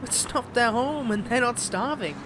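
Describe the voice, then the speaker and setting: A man's voice: very high voice, moderate pace, noisy background. A man playing a smug, possessive villain in a romantic audio drama, flirting and taunting theatrically while courting a former lover. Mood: smug, menacing. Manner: flirtatious, taunting, theatrical, with pet names and veiled threats. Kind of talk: dramatic audio roleplay acting.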